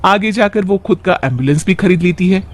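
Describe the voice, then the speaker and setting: A man's voice: high voice, fairly quick, a little hiss or hum. A man narrating a scripted moral bedtime story as a storyteller.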